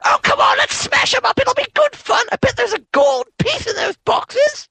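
A man's voice: normal speed, creaky, no room sound, soft background music, heavily acted. A man voicing a mischievous little boy who eggs the player on to smash boxes. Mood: coaxing. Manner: high, wheedling, loud.

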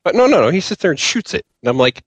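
A man chatting casually on a podcast panel about a video game, recounting things in an animated way and acting out his own reactions. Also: on the high side, fast, breathy edge, no echo.

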